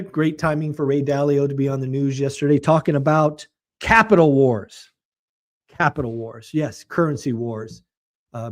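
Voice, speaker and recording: A man hosting a real estate show, giving blunt, emphatic market commentary in a slightly hoarse voice. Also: fairly high pitch, darkish tone. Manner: emphatic, blunt and colorful, mocking. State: slightly hoarse, losing his voice.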